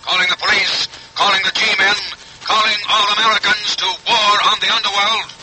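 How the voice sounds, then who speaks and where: Casting: male dramatic announcer, high urgent declaiming, very sharp tone, noisy 1940s radio. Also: little pitch movement, normal speed, soft background music. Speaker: program opening announcer.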